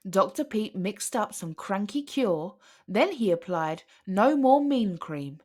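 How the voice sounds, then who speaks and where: A woman narrating a children's picture book aloud as an expressive storyteller. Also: mid-range voice, slow. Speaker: storybook reader.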